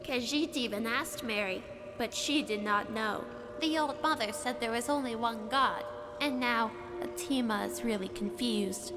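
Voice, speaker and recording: A woman plays a sweet, naive little cat-folk girl from a fantasy video game. The voice is high and childlike, with simple third-person phrasing. Loud music plays behind it. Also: unhurried, natural voice. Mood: curious, puzzled.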